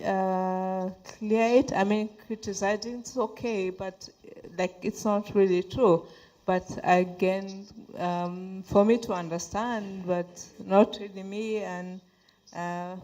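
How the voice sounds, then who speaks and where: Halting woman, slow with many fillers. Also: medium pitch, darkish tone, rough recording. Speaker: panel speaker. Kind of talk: unscripted panel remarks.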